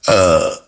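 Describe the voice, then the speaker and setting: A man's voice: low voice, fairly bright tone. A middle-aged man telling personal stories casually as a host, candid and reflective, with streetwise slang. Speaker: podcast host and music artist.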